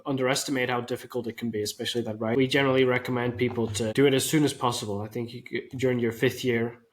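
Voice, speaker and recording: A man's voice: medium pitch, flat delivery, very fast. A man hosting an interview, asking structured questions and adding practical information. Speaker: interviewer, host.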